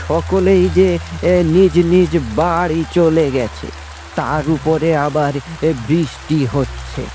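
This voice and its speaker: A man's voice: on the high side, steady tone, slow, slight background noise, poor audio. A man voicing an authoritative village headman in a cartoon. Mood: anxious, grieving. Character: stern, unjust village headman, worried father. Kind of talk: cartoon dialogue. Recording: loud music behind, rain sounds.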